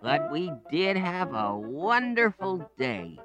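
A woman's voice: low voice, very animated, takes it slowly, over music, voice pushed up, exaggerated voice. A woman voicing an eager young boy in a children's storybook reading.

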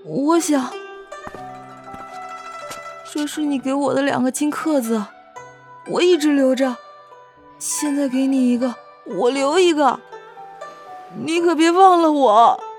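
A carefree young princess in a period audio drama. She has a fairly high voice and very unhurried, hesitant speech. She sounds artless and naive, over faint music. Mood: hesitant, attached.

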